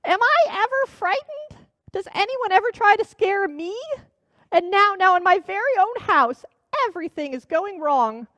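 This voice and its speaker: A woman voicing a bad-tempered old female witch who shrieks in a shrill, high voice. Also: big pitch swings, normal speed, fairly bright tone, dry recording, full character voice. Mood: tearful rage. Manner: shrieking, furious.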